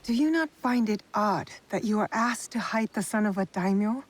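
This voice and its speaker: A woman voicing a determined heroine who questions lords directly and calmly in game cutscenes. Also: medium pitch, moderate pace, lightly acted. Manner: direct, probing and calm. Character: cool determined female shinobi heroine. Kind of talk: video game cutscene acting.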